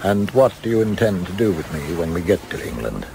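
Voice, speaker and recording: A man's voice: low-pitched, sing-song, a little fast, vocal fry, full character voice. Disgraced middle-aged father played by a man, blustering yet brittle, wildly swinging intonation, faint noise behind, period radio drama. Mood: subdued, resigned. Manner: blustering, old-fashioned cursing, faltering when cornered. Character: exposed older traitor father, blustering then broken. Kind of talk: radio play acting.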